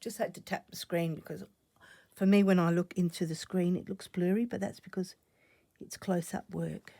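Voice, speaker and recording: A woman recovering from a cough, chatting casually while she stitches, often dropping to soft half-whispers as she thinks aloud. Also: on the low side, steady tone, normal speed, vocal fry, no echo. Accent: Australian. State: croaky from a cough. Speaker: stitching channel host. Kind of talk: casual crafting vlog chat.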